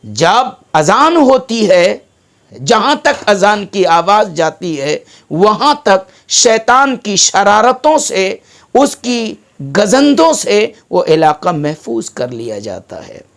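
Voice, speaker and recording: High-pitched, slow, emphatic Indian preacher. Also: male voice, sing-song, creaky, fairly bright tone. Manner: emphatic, oratorical, with deliberate pauses. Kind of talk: sermon.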